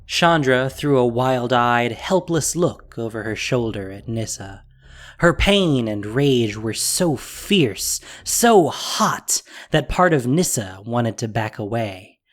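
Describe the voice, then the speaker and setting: A man's voice: mid-range voice, lively intonation, slow. A man narrating a fantasy audio drama in a scripted, dramatic storytelling style.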